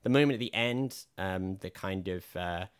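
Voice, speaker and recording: A man co-hosting a podcast, reviewing films in a rambling, hesitant way, with lots of fillers and a slightly croaky voice. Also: deep voice, moderate pace. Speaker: podcast co-host. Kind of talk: casual film review chat.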